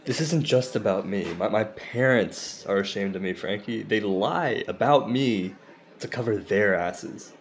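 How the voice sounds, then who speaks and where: Man playing a halting, insecure low-voiced comedian. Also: a little hiss or hum. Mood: guilty, pleading. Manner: halting, confessional, profane. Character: insecure, self-deprecating Indian-American stand-up comedian, torn by shame. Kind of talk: naturalistic comedy audio drama acting.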